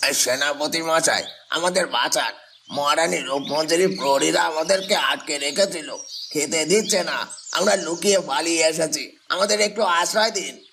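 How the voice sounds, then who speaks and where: A man's voice: on the high side, normal speed, creaky, background noise. A man voicing a poor, oppressed peasant in a fairy-tale cartoon, humble and pleading.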